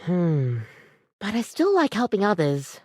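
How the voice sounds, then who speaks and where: A woman's voice: medium pitch, very animated, unhurried, warm tone, no echo, clean studio sound. A woman voicing an overworked, apologetic barista in a video game, chatty, rambling and self-deprecating, with a weary devotion to the job. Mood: hopeful. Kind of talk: video game character acting, conversational.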